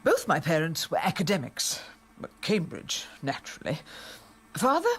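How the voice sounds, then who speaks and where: Radio comedy role: snobbish, self-important dean dictating memoirs, high voice, very varied intonation. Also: male voice, wildly swooping pitch, moderate pace, a bit bright, slightly rough, full character voice. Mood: smug, disdainful. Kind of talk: radio comedy drama monologue.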